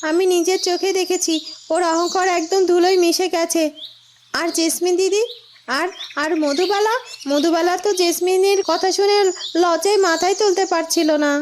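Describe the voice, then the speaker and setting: Very high-voiced humble village wife, acted by a woman. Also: slight background noise. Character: humble, grateful village wife selling snacks. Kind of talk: cartoon fairy-tale dialogue. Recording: music and birdsong behind.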